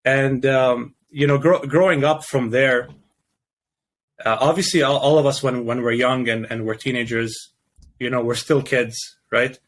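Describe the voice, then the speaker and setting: A young man from Canada talks in a relaxed interview. He speaks in a middle register, stopping and restarting often as he gathers his thoughts, with frequent fillers. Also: mid-range voice, a little slow. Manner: hesitant, many restarts and fillers. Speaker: guest. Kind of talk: casual interview chat.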